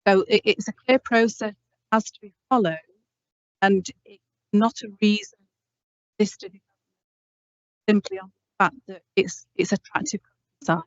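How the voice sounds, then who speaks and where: A woman planning officer with a loud voice, explaining procedure to a committee in a measured way. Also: medium pitch, fairly even intonation, no echo. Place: council chamber. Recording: dull dry meeting audio.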